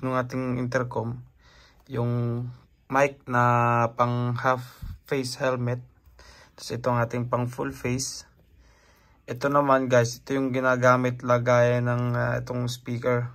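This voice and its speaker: A man's voice: medium pitch, fairly bright tone. A man vlogging casually, talking through an unboxing and setup as he handles the gear, informal and mixing in English words.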